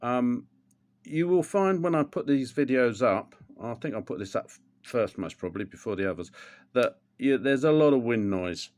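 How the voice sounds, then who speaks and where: A man's voice: mid-range voice, lively intonation, moderate pace, a little mellow. An older man, chatting casually through a how-to demonstration at home, rambling with plenty of ums and restarts. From England. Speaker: motorcycle vlogger.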